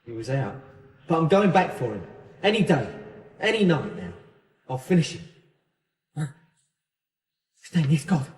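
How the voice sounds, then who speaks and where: An actor playing an edgy, defensive small-time criminal in a radio drama, with an excitable, protesting manner. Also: male voice, fairly high pitch, unhurried, long pauses, recorded from a distance. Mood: pleading, urgent. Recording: echoey, reverberant, off-mic radio drama scene.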